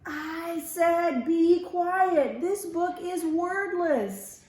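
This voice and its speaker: Woman acting a high-pitched bossy little male mouse. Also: very slow, darkish tone, exaggerated voice. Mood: exasperated, scolding. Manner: emphatic, scolding, self-important. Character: bossy, exasperated male mouse, self-important would-be star. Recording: roomy echo.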